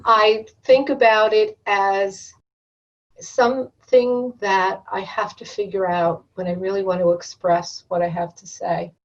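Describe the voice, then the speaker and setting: A woman's voice: medium pitch, fairly even intonation, unhurried, distant mic, highs cut off. A woman guest on an education podcast who speaks in a thoughtful, anecdotal way. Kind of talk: podcast interview.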